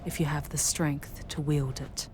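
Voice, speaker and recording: A seductive, ominous demoness played by a woman guides a mortal in an ASMR audio drama. Her voice is deep for a female and unhurried, with breathy, half-whispered phrasing. Faint noise and ambience sit behind the ornate, measured delivery. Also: fairly even intonation, a little mellow. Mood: grave, cautioning. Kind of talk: scripted ASMR audio roleplay, dramatic monologue.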